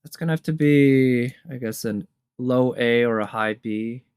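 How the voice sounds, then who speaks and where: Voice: mid-range voice, unhurried, darkish tone, smooth, dry recording, clean studio sound. A casual reviewer giving reaction commentary on game trailers, conversational and musing, as if thinking aloud. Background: game trailer music.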